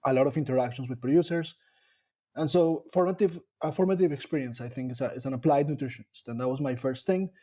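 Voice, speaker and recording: A man from Colombia talks through his path in a relaxed interview. His voice is warm and mellow, at a middle pitch. He pauses often and restarts his sentences as he thinks. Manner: thoughtful, with frequent fillers and restarts. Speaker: dairy nutrition scientist guest. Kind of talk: casual interview conversation.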